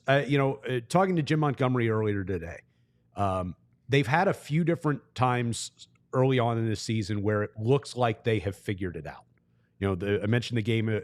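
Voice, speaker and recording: A man who works as a hockey broadcaster, chatting casually as a guest and thinking aloud as he talks. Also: fairly low pitch. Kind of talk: casual sports talk interview.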